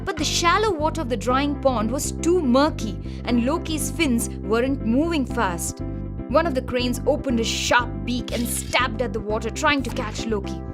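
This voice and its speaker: A woman reads a children's story as its narrator. Her voice is on the high side, and music plays underneath. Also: normal speed.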